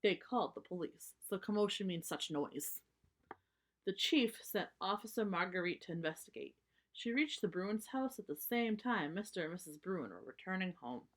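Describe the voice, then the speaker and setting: A woman reading a story aloud for children, narrating and pausing to explain things simply. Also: on the low side, expressive.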